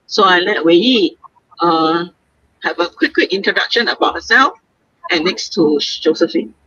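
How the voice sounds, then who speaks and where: A woman's voice: fairly low pitch, animated, moderate pace, pushed and bright, slightly rough, dull audio. A woman from Singapore hosting a casual live stream, chatty, with many fillers and frequent laughs, talking on her way home. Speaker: host. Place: moving vehicle on the way home.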